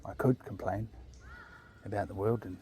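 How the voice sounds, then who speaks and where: A man's voice: lowish voice, fairly even intonation, moderate pace, mellow, a little hiss or hum. Laid-back New Zealand man rambling outdoors. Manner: laid-back, chatty, jokey asides. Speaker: vlogger walking his dog. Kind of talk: casual rambling monologue. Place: outdoor park walking trails. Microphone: chest-mounted camera.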